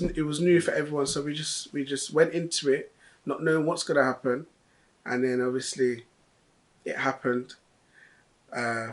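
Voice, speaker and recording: A young man talks through his story as an interviewee. He is earnest and a little halting, often stopping to restart or repeat words. His pitch sits in the middle range for a man. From London. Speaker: delivery driver. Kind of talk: interview testimony.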